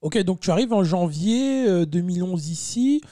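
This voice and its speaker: A man's voice: high voice, lively intonation, normal speed, dark tone, no room sound, studio quality. A man hosting a casual podcast interview, chatty, often restarting his questions and saying he is a bit tired. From France. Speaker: podcast host.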